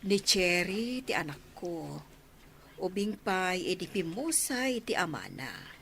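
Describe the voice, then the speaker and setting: A woman's voice: lowish voice, sing-song, takes it slowly, creaky, a bit bright, a little hiss or hum, heavily acted. A woman with an Ilocano accent playing a plain-spoken, welcoming middle-aged village widow and mother in a comedy radio drama.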